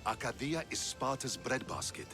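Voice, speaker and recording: An actor playing a measured, principled male Spartan commander in a game cutscene who argues calmly and persuasively. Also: mid-range voice, gravelly, over music. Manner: calm, persuasive. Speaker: Spartan general.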